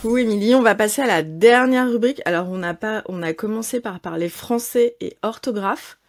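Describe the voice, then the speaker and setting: A woman's voice: mid-range voice, brisk, a little hiss or hum. A woman hosting a casual podcast, upbeat, leading the segments with many fillers. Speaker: podcast host.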